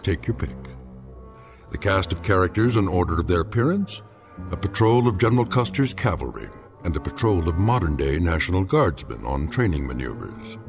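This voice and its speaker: An actor narrating an eerie radio drama anthology in a measured, ominous way. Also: male voice, low voice, big pitch swings, gravelly, full character voice. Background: music.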